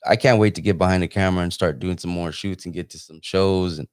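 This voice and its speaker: A man's voice: sits low, steady tone, fast, darkish tone, clean tone, dry recording, studio quality. A man hosting a casual live interview, talking in an informal, rambling way. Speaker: interviewer and host; photographer.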